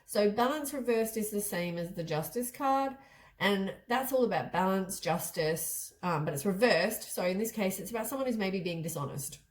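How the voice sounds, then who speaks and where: A woman's voice: medium pitch, expressive, normal speed. A woman with a stuffy, congested voice from a head cold, giving a casual tarot card reading. She rambles and often corrects herself mid-sentence. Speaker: tarot reader.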